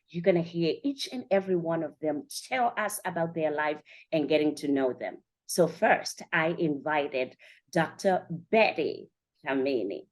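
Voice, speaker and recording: Enthusiastic lower-pitched woman hosting a show. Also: a little mellow. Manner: enthusiastic, chatty, addresses listeners directly. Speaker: show host and conference organiser. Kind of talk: upbeat podcast interview hosting.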